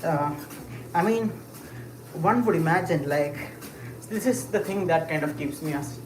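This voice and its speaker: A livestreamer rambles and muses aloud to the chat at a middle pitch, full of "um"s and little laughs. The voice bounces around a roomy, echoey space, with a steady wash of ambient noise clearly under it. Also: a little slow. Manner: rambling, musing aloud with frequent fillers and short laughs; talks while walking. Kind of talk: casual live chat.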